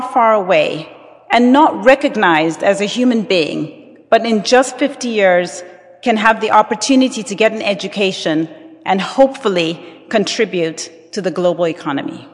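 A woman, giving a formal address as guest speaker with a measured, emphatic delivery. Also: medium pitch. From Zambia. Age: 50s. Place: large auditorium with audience. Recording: reverberant hall.

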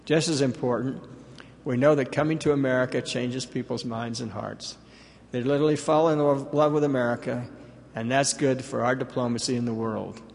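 Older guy giving a speech, gravelly voice, big echoey hall. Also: mid-range voice, fairly even intonation, normal speed, a little mellow. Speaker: company executive giving a speech. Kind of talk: formal prepared speech. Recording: reverberant hall.

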